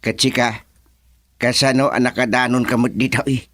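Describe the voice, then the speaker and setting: An actor playing a bewildered man lost in a forest in a radio serial, with a Filipino accent and drawn-out, exclamatory speech. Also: mid-range voice, flat delivery, fairly bright tone, a little hiss or hum, close to an everyday voice. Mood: questioning.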